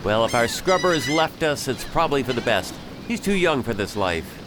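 An actor playing a bold pirate captain in an audio drama, speaking in a formal, declamatory way. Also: male voice, medium pitch, sing-song, normal speed, background noise, lightly acted. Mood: measured. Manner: well-spoken, declamatory.

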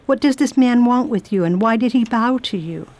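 A brisk lady traveler gives orders in a firm, commanding tone, played by an actress in a radio play. Her voice stays even and steady. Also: a little high, close to an everyday voice. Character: brisk determined Englishwoman traveler. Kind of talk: radio drama acting.

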